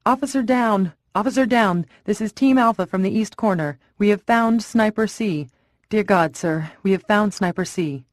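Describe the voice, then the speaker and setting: A woman voicing a panicked male police officer who shouts urgent reports over a radio. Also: mid-range voice, moderate pace, no echo, voice pushed up, natural voice. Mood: frantic.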